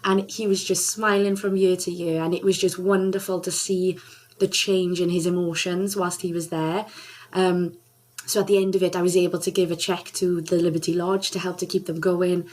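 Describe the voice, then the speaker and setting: A young woman from South Wales talks as a radio guest, her voice sitting a little low. She tells her story earnestly and from the heart, often restarting her sentences as the memories come back. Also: level tone, moderate pace, a little mellow. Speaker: singer and charity ambassador, guest. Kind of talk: radio interview, personal storytelling.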